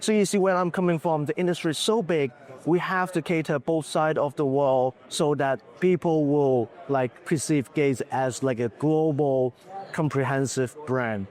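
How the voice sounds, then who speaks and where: A man, a business executive, answering questions in a conference booth interview, slightly hoarse from losing his voice, talking candidly with lots of fillers. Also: a little high, moderate pace, darkish tone. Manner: candid, loose, full of "uh" and "like".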